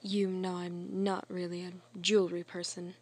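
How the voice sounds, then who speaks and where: A woman voicing a serious, logical young man in an amateur comic dub, deadpan and matter-of-fact. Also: lowish voice, moderate pace, dry recording. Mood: dry, exasperated.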